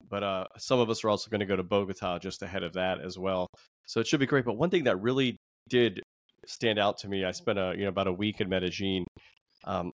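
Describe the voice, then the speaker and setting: A man with a low voice hosts an interview, talking in a loose, rambling way and leaning on fillers as he gathers his thoughts. The recording is dry and close, with almost no room sound. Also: no echo. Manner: relaxed and rambling, with many fillers. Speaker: host. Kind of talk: conversational interview.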